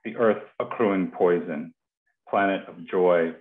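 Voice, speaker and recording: A man's voice: low-pitched, slow pace. A man in his thirties or forties hosting a poetry reading informally, speaking on a headset over a video call from a kitchen. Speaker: host of a poetry reading series. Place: kitchen, indoors. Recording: roomy, tinny video-call audio.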